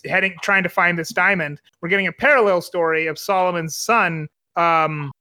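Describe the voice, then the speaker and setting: A man's voice: high voice, sharp tone, smooth. A young man co-hosting a podcast, talking casually about a film with frequent fillers and restarts. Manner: chatty, many fillers and restarts. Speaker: podcast co-host.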